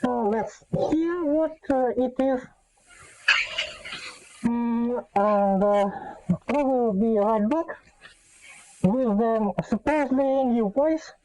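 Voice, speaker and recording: Woman, mellow tone, slow halting delivery, casual explainer, lots of ums. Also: fairly high pitch, poor audio. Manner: halting, hesitant, frequent long filled pauses. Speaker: video host. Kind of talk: casual unscripted explanatory talk.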